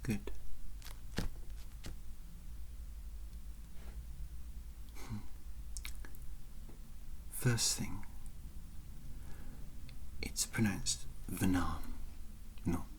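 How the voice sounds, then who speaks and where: A woman playing an aloof, sardonic female alien pilot in a soft, breathy whispered ASMR roleplay. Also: rumbling low, exaggerated voice. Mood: cool, skeptical. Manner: slow, deliberate, dryly sardonic.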